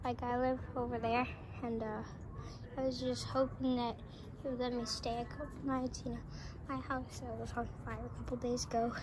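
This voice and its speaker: A young-sounding female performer voicing a shy, hesitant homeless neighbor who talks haltingly in a homemade toy drama. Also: fairly high pitch, little pitch movement, background noise, pitched below the performer's own voice, natural voice. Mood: timid, sheepish.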